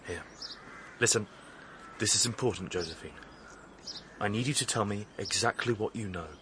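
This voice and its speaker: An actor with a British accent playing an earnest young fiancé turned investigator in a radio drama, urgent yet hesitant. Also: male voice, lowish voice, moderate pace, noisy background, natural voice. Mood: quiet, urgent.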